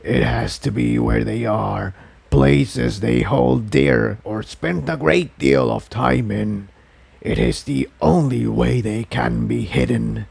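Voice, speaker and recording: A man's voice: medium pitch, slow pace, creaky, darkish tone, husky, full character voice. A man voicing a sinister, impatient entity that hisses commands inside a dragon's head in a story reading. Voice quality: hissing, whispery.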